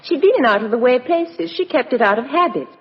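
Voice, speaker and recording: A brisk, self-possessed young Englishwoman in a vintage radio play. Her delivery is articulate and very lively in pitch. The recording is dull, with long reverb. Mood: insistent, puzzled. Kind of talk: 1950s British radio drama acting.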